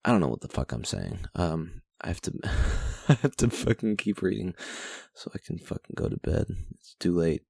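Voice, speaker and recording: A man's voice: low voice, very animated, normal speed, creaky, hardly any pauses, darkish tone, breathy edge, dry recording. A young man casually reading his journal aloud late at night, rambling, swearing and putting himself down. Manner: profane, self-deprecating, rambling.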